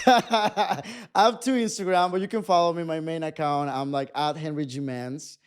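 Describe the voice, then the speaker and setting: A man in his twenties speaks with a Mexican accent as a podcast guest. His voice sits a little high, and his talk is animated, tumbling through restarts as he chats. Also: normal speed, smooth. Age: 20s. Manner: animated, restarts sentences often. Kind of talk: casual chat.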